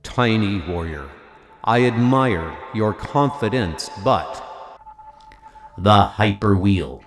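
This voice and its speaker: Ancient giant guardian for comic-book audio drama, male actor: solemn, archaic, deep and unhurried, over prominent music. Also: animated, reverberant. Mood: solemn, measured. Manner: slow, grave, archaic pronouncements. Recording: music behind the voice. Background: loud dramatic music.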